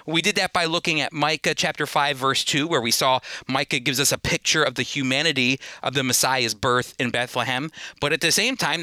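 A man hosting a radio Bible program, teaching in a sermon-like style with earnest, emphatic, deliberate phrasing. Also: a little high, bright, forceful tone, no room sound.

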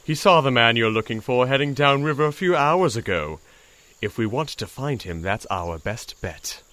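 A man plays an enormous, cryptic toad from an audio drama. He speaks formally and with measured pomp at a middling male pitch, his intonation animated and dryly witty. Crickets sound behind him. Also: no echo, natural voice. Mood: dry, assured.

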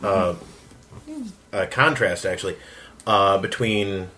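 A man in a casual group discussion, speaking in a wry, measured manner. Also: sits low, pushed and bright, slight background noise.

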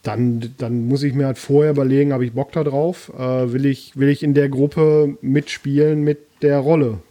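A man's voice: medium pitch, flat delivery, moderate pace, warm tone. A man co-hosting a podcast, telling stories in an easygoing way with hesitant restarts. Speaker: podcast co-host. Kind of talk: casual chat.

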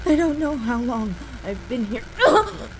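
A woman playing a terrified stranded space explorer in an audio drama, crying and pleading in halting, stammered phrases. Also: on the high side, big pitch swings, slight background noise, poor audio. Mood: despairing, frightened. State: crying, panicked.

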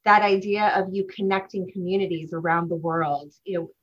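A woman's voice: lowish voice, steady tone, normal speed, husky, muffled recording. A woman hosting a podcast interview, introducing her guest and asking questions with enthusiasm. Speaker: podcast host, business consultant.

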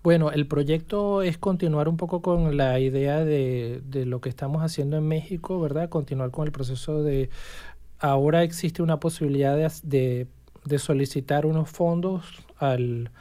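A university language professor, interviewed as a guest, explaining at length in measured sentences with frequent restarts. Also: mid-range voice, dark tone. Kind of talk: casual interview chat.

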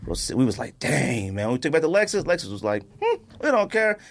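A man's voice: on the high side, quick pace. A man co-hosting a podcast, telling stories in casual slangy banter and acting out what people said. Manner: animated, slangy storyteller who acts out quotes. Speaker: podcast co-host.

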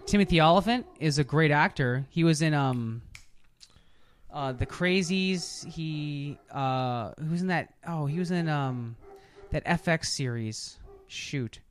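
A man's voice: on the high side, measured pace, slight background noise. A man hosting a podcast in an informal, opinionated, conversational way. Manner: informal and opinionated, with occasional swearing. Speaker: podcast host. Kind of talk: casual chat.

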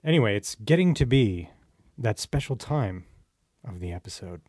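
A man's voice: lowish voice, expressive, moderate pace, a little mellow, no echo. Playful male podcast host, dry close sound. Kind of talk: casual interview with quick-fire questions.

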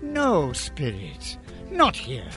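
An actor playing an anguished old miser haunted by his past in a radio drama, gravelly and pleading. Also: on the high side, wildly swooping pitch, extremely slow, a bit bright, full character voice. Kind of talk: family radio drama acting. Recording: music under the voice.

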